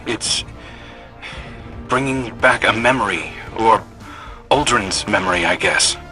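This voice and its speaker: An actor playing an earnest, watchful scout agent in a video game, giving direct, conversational mission guidance. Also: male voice, on the low side, takes it slowly, bright, forceful tone, soft background music. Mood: thoughtful.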